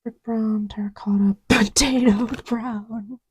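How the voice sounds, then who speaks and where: A woman playing an affectionate, scatterbrained girlfriend in a casual roleplay audio, soft-spoken and often whispery, rambling playfully and self-deprecatingly. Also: a little high, steady tone, takes it slowly, slight background noise. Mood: absorbed, playful. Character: affectionate, scatterbrained girlfriend testing markers on her boyfriend's lap. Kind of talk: casual, improvised-sounding girlfriend roleplay audio with ASMR feel.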